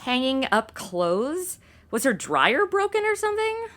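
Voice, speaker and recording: A woman's voice: sits high, wildly swooping pitch, fairly bright tone, heavily acted. An actress playing a flippant young American woman in her twenties with slangy valley-girl drawl, in a comedy audio drama. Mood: incredulous, sarcastic. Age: 20s. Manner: slangy valley-girl talk with drawn-out words. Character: flippant modern twenty-something woman, sassy amateur detective.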